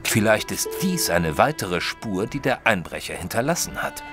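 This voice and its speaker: An actor playing a detective's earnest, puzzled companion, narrating in the first person in a German audio drama in a measured, literary manner. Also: male voice, lowish voice, sing-song, over music. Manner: measured, formal, literary storytelling.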